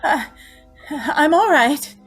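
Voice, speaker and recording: A woman's voice: high-pitched, animated, extremely slow, faint music behind, full character voice. A woman playing an anguished young condemned woman haunted by an inner voice, pleading and intense, in old-time radio drama style. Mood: subdued dread. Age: young adult.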